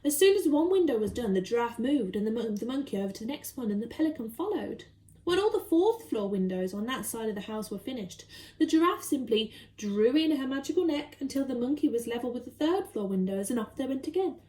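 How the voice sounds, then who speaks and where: A woman reading a children's story aloud expressively, with dramatic, deliberate emphasis. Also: on the high side, expressive, dark tone.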